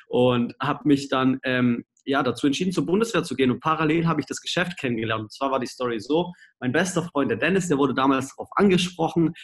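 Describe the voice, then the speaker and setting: A man of twenty-five with a cold-roughened voice, casually telling his life story on a home video call. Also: mid-range voice. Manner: casual, lively storytelling with slang. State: hoarse from a cold. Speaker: guest speaker. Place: small room at home, indoors. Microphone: laptop webcam, video call.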